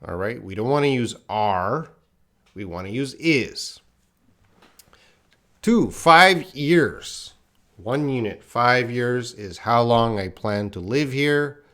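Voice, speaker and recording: Slow, patient male English teacher. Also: mid-range voice, lively intonation, bright, forceful tone. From Canada. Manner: slow, patient explaining, reads chat comments aloud. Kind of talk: live online grammar lesson.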